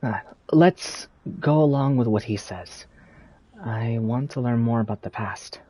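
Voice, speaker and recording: Bright, husky young adventurer. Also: male voice, medium pitch, a little slow. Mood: cautious. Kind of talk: video game character acting.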